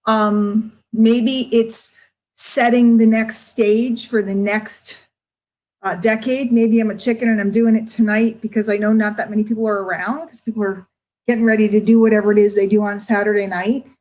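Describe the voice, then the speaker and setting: A middle-aged woman speaking emotionally and candidly to her online followers, confiding and emphatic, with drawn-out words and pauses. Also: mid-range voice, little pitch movement, darkish tone, poor audio. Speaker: online marketer addressing followers. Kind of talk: candid livestream monologue to followers.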